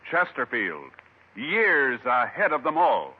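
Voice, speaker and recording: Husky, sweeping American radio announcer. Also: male voice, medium pitch, huge pitch swings, slow. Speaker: program announcer. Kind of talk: formal 1950s radio announcing. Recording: muffled 1950s radio recording.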